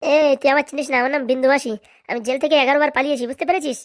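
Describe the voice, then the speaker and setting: A woman's voice: high voice, fairly even intonation, racing, dry recording, clean studio sound, lightly acted. A woman voicing a brash, boastful older aunt in jail who scolds and threatens in a comedy cartoon. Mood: defiant, boastful. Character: brash boastful older jailbird aunt, serial escaper, short-tempered. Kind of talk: Bengali comedy cartoon dialogue.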